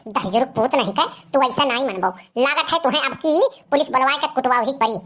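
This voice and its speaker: Woman doing feisty cartoon village girl, high voice, awadhi accent, naggy and sharp tongued. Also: a little fast. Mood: scolding, threatening. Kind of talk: cartoon comedy dialogue.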